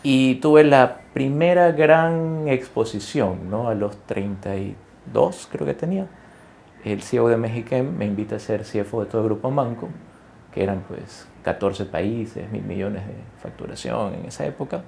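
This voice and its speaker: Male business guest, forties, from Ecuador, gravelly mellow voice, conversational with fillers, faint noise behind. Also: mid-range voice, lively intonation, normal speed. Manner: conversational, many fillers and self-corrections, tag questions. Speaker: guest executive. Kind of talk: interview conversation.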